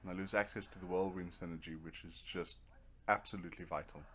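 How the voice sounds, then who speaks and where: A man's voice: sits low, fairly even intonation, fast talker, fairly bright tone, slight background noise. A man giving casual let's play commentary, rambling to himself in a wry, self-deprecating way. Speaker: gaming video host.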